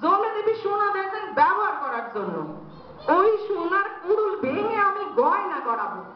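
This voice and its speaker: A woman playing an excitable, greedy, naive village wife in a comic folk drama, gushing and chattering. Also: extremely high pitch, normal speed, a crowd in the background. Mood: greedy excitement. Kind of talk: Bengali comic domestic stage drama. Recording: very echoey, distant, dull, faintly noisy.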